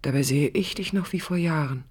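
A woman's voice: low voice, a little fast, darkish tone, husky. An actress playing a lonely, pensive young woman who speaks intimately and wistfully to her absent brother in a radio drama. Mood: tender, reflective. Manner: introspective, wistful, lyrical.

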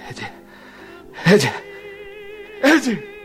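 An actor playing a gravely ill, embittered and stubborn husband in a 1970s radio drama, with a theatrical delivery. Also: male voice, high voice, wildly swooping pitch, takes it slowly, soft background music, heavily acted. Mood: desperate.

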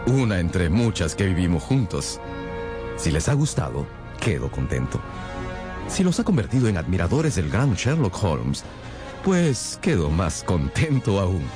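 Deep-voiced, animated storytelling sidekick. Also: male voice, unhurried, music in the background. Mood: genial sign-off. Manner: storytelling, attentive. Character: loyal, curious male companion narrating the detective's adventures. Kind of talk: radio drama narration and acting.

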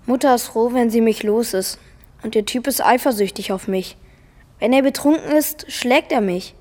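Woman as a defiant young boy, high, echoing. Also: normal speed, a little mellow, lightly acted. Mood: sullen, pleading. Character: defiant neglected young boy, wary and needy. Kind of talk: radio drama dialogue.

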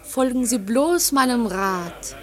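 A woman's voice: fairly high pitch, expressive, takes it slowly. An actress playing a chatty, prim, gossipy woman in a German radio play, fussy and insistent as she gives advice. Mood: fussy, knowing.

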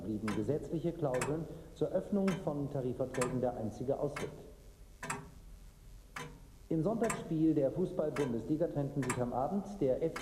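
A man reading a formal scripted radio news bulletin. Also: on the high side. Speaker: news reader. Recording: echoey hall, noisy archive radio, ticking clicks.

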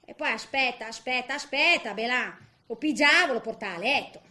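A woman's voice: a little high, a little fast, pushed and bright. An actress playing a feisty, bitter, garrulous widow in her eighties in a theatrical comic monologue, grumbling colloquially in with little interjections. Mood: tender, sad. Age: 80s.

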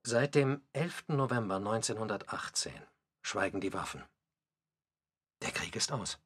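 A man's voice: fairly low pitch, animated, unhurried, fairly bright tone, breathy edge. A man reading scripted documentary narration for a radio play.

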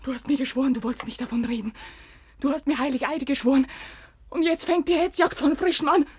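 Woman playing a distressed young peasant woman, high-voiced. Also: fairly even intonation, fast, no room sound, studio quality, natural voice. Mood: furious, desperate. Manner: emotional, rustic speech. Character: distressed young peasant woman, wronged, torn between resignation and fury. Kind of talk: German radio drama acting.

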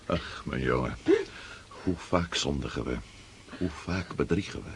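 An actor playing a pompous, world-weary Russian prince of nearly sixty in a radio drama. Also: male voice, very deep voice, unhurried, vocal fry, slight background noise, full character voice. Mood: weary, mournful. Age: 50s.

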